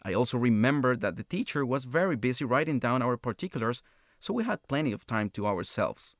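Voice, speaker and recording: A man's voice: mid-range voice, big pitch swings, a little fast, no room sound, clean studio sound. An actor playing a pleasant man chatting about everyday matters in a scripted listening exercise. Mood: reflective. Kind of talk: scripted monologue and dialogue.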